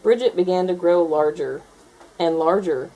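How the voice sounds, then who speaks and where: A woman reading a children's storybook aloud in expressive narration. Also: fairly low pitch, normal speed, rough recording.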